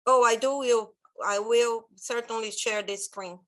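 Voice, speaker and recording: A woman's voice: on the high side, fairly even intonation, unhurried, fairly bright tone. A woman with a Brazilian accent leading an online church training over a laptop video call, chatty and loose, with many fillers. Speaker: children's ministry trainer.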